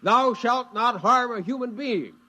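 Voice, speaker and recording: A man's voice: very high voice, unhurried, bright, forceful tone, no room sound, heavily acted. A man playing an exasperated spaceman, emphatic and wry, in a 1950s radio drama. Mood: furious. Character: exasperated spaceman narrating his kidnapping by a robot.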